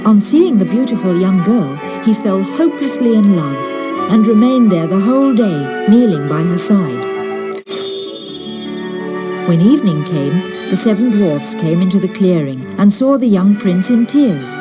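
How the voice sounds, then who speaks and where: A woman narrating a fairy tale as an audiobook reader in an expressive storytelling style. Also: mid-range voice, a little slow, over music.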